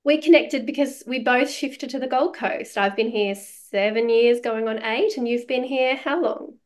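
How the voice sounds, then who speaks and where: Higher-voiced Australian woman hosting. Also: moderate pace. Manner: informal, conversational. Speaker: podcast host. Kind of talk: casual chat.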